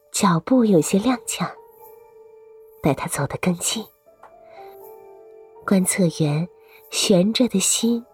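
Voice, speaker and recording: A woman's voice: medium pitch, slightly rough. A woman reading scripted story narration for an audio drama.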